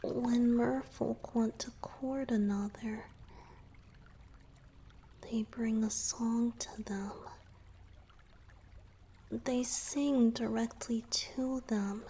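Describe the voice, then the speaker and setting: Woman voicing a slow-spoken, flustered young merman boyfriend. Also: a little high, fairly even intonation, mellow, slightly rough, full character voice. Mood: shy, hushed. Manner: hesitant, flustered, with sighs and murmured asides. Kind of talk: romantic ASMR roleplay to the listener. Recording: background noise with trickling water.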